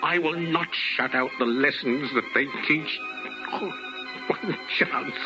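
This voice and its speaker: A man playing a repentant old miser with theatrical, halting, imploring delivery in 1950s radio drama style. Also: fairly high pitch, huge pitch swings, full character voice. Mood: desperate pleading. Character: frightened, repentant old miser Scrooge pleading, later giddy. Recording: muffled old radio recording, music behind.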